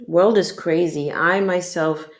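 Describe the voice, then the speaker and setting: A woman's voice: fairly low pitch, fairly even intonation, measured pace. A woman hosting her own podcast, reacting to a clip in a frank, rambling way and asking rhetorical questions. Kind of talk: reaction commentary.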